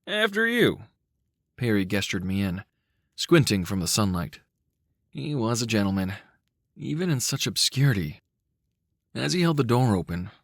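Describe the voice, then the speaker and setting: A man giving a measured, cinematic, dramatic narration of a dark short story. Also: lowish voice, dry recording, studio quality. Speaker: audiobook-style story narrator.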